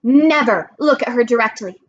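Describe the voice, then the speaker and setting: A woman's voice: a little high, animated, normal speed, dull audio. A woman voicing a brainy, determined girl in a fantasy storybook, insistent and matter-of-fact. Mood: urgent, insistent.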